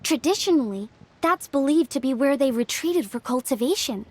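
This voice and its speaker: A woman playing a polite, composed, bookish young woman in a video game, speaking in a measured, articulate way. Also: high-pitched, lively intonation, slight background noise. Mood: calm, informative.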